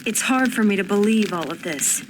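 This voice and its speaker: A woman voicing a serious, analytical, measured and skeptical member of a fantasy video game party. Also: medium pitch, expressive, close to an everyday voice. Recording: noisy game ambience behind voice.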